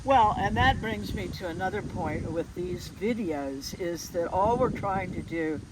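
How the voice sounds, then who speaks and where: A woman hosting a casual interview, talking informally and somewhat haltingly as she thinks aloud. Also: lowish voice, expressive, background noise. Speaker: interviewer, host.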